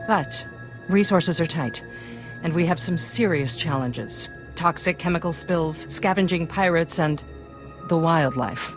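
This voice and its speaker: An actress playing a resolute planetary governor in a video game, composed, determined and commanding. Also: female voice, deep voice, unhurried, music in the background.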